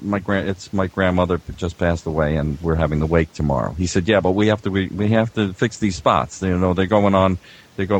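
A middle-aged or older man, an audio engineer guest, casually telling stories in a wry, halting way. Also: deep voice, slight background noise.

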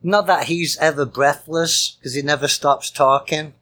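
A man's voice: fairly high pitch, fairly even intonation, unhurried, pushed and bright. A man co-hosting a music show with casual, jovial and rambling banter. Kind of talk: casual chatty radio-style banter.

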